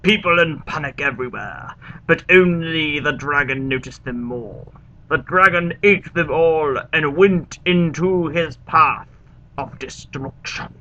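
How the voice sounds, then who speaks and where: A man playing a pompous, earnest foreign author who gives theatrical, deliberate dramatic readings of his absurd broken-English stories. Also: fairly high pitch, pushed and bright, dull audio. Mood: grave. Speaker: reader of fan fiction.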